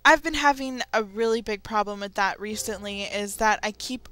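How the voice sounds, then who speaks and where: A young adult woman giving a candid, confessional vlog talk, speaking haltingly and often repeating phrases. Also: medium pitch, fairly bright tone. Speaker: video creator. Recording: music behind the voice. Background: background music.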